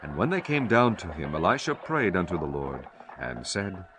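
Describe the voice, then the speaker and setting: A man narrating dramatized scripture in a solemn, measured storytelling manner. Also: low-pitched, very animated, a bit bright, a little hiss or hum. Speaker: audiobook narrator. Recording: music bed under the voice. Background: music.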